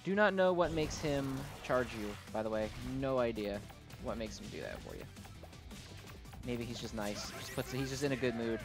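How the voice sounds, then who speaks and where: Music plays clearly behind a man talking in a medium-pitched voice. He gives casual gameplay commentary as the host of a gaming video. His delivery is informal and slangy, with a self-deprecating streak. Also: big pitch swings, moderate pace.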